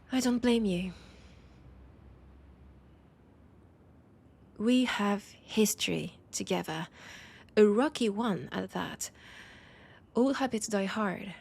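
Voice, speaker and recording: Slow, breathy French-accented vampire woman, acted. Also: darkish tone. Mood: wary, intimate. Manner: slow, low-key teasing with breathy, near-whispered phrases. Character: poised, teasing female vampire, wary old rival. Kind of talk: intimate audio roleplay acting.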